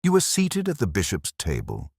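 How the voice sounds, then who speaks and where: A man voicing a calm, shrewd police inspector who talks quietly and probes lightly. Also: deep voice, creaky, breathy edge, dry recording, clean studio sound, pitched above the performer's own voice, full character voice. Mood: calm probing. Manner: quiet, measured, lightly probing.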